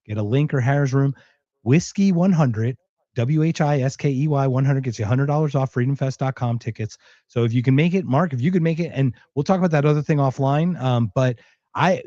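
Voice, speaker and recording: Male podcast host, mid-pitched, effusive and emphatic, casual delivery, close dry sound that is a bit muffled. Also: warm tone, dry recording. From Philadelphia, United States.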